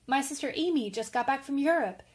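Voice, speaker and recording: A woman's voice: high voice, animated, fairly quick. A woman playing an earnest, impulsive young woman writer in an old-time radio drama. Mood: urgent, eager.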